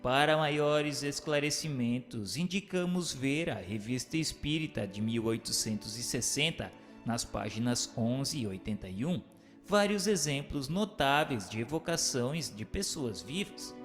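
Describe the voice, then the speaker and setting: In a long, hall-like reverb with faint music, a man reads as narrator. His mid-pitched voice delivers scripted notes and questions formally and deliberately. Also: animated. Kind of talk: scripted narration, reading aloud.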